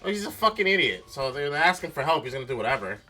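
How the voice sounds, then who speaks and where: Bright-voiced man thinking aloud over game music. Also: a little high, sing-song, fast talker. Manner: speculative, blunt, swears casually. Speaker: streamer playing a mystery game. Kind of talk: casual game commentary with friends, reasoning aloud.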